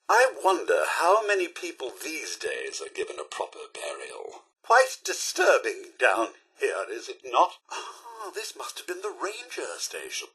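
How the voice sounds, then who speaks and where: A man's voice: on the high side, pushed and bright, husky, heavily acted. A man playing a polite, fussy, loyal robot butler in a video game, with a British accent and formal, deferential manners. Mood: politely curious. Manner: formal, deferential, fussily courteous. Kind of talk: video game character voice lines.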